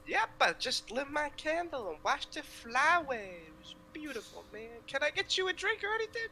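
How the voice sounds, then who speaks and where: Woman as a very high-pitched gushing flirty adventurer. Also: very animated, moderate pace, bright, forceful tone, slight background noise, exaggerated voice, rough recording. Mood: casual, flirty. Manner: effusive, teasing. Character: gushing, flirty, brash festival-going adventurer.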